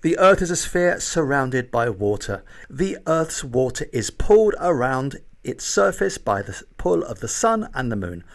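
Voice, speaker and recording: A man reading a scripted explanation in a lively explainer tone. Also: mid-range voice, a little slow, hardly any pauses. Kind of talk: scripted narration.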